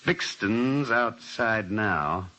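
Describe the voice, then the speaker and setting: A grave, fatherly older warden in an old radio play. His voice is low and forceful, with very animated swings of pitch. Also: very slow, heavily acted. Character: fatherly, sober older male prison warden. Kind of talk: mid-century radio drama acting. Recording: noisy old radio broadcast.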